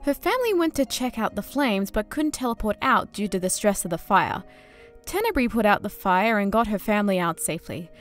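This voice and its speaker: A woman's voice: medium pitch, very animated, brisk, soft background music. A woman reading scripted storybook-style narration as a narrator.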